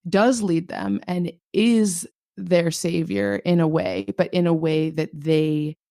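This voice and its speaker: A woman with a low voice, a podcast guest talking casually and reflectively, thinking aloud with frequent filler words. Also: very animated, takes it slowly, mellow, no echo, studio quality.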